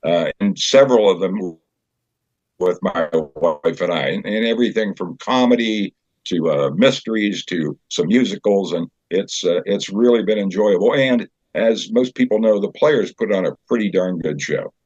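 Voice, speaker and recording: An older man chatting as an interview guest, telling anecdotes in a halting, conversational storyteller's way. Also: sits low, darkish tone, slightly rough, no echo. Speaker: guest, community theater director and actor. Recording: slightly dull, dry recording.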